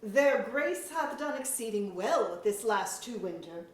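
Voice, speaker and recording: A proud court chamberlain acted by a woman in a period-style play, high in voice and slow in delivery. The speech is emphatic, witty and formal, caught from a distance in an echoey auditorium. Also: expressive. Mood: courtly, measured. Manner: rhetorical, emphatic, archaic formal diction. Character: proud, witty Lord Chamberlain of a fantasy court, loyal, scolding. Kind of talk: staged reading of mock-Shakespearean verse drama. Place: museum auditorium.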